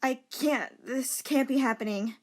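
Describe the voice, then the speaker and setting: A woman voicing an anxious young woman passenger in an audio drama. Her voice is high and slow, and she sounds anxious and accusing. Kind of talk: amateur audio drama acting.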